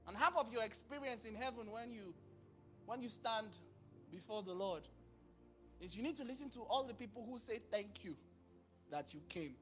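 A man in his thirties preaching a sermon into a handheld mic on a large church stage, acting out dialogue with sudden bursts of loudness. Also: high-pitched, normal speed, long pauses, faint music behind. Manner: animated, acts out dialogue, bursts of volume. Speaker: pastor.

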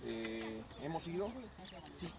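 A man's voice: medium pitch, takes it slowly, heavy background noise. A man explaining the local landscape outdoors, eager and informative. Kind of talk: casual explanation. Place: outdoor park. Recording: crowd chatter behind.

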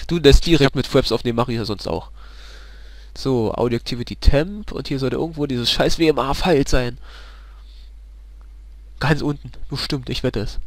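A man's voice: mid-range voice, unhurried, long pauses, a bit bright, no room sound. A man casually commenting a co-op game, chatty and swearing whenever he dies. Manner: chatty, swears, laughs at deaths. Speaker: let's play commentator. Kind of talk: casual gaming chat.

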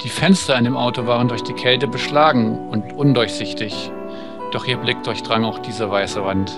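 A man's voice: mid-range voice, steady tone, normal speed, music in the background, lightly acted. A man reading as an awkward young male driver. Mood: pensive. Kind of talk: visual novel read aloud.

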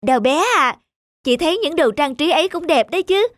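A woman voicing a cheerful, optimistic older-sister peach tree in a cartoon, speaking in a gentle, soothing manner. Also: sits high, animated, fairly quick, soft background music. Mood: delighted. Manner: soothing, wondering. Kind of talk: animated cartoon dialogue.

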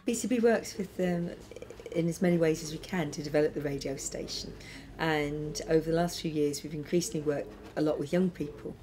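Low-voiced middle-aged woman being interviewed. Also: brisk, mellow, a little hiss or hum. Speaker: community radio station director. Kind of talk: interview testimony. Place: small office, indoors.

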